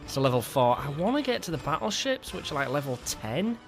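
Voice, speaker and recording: A man's voice: a little high, very animated, brisk, soft background music. A man hosting casual gameplay commentary, rambling with stumbling restarts and a lingering cough. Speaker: gaming video host.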